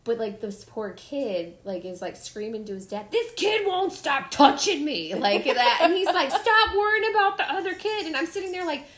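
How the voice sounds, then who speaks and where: A woman hosting a podcast, telling stories in a casual, animated way and acting out the people in them. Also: high voice, moderate pace, hardly any pauses. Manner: animated storytelling, acts out kids' voices. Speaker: podcast host. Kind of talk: casual chat. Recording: echoey room.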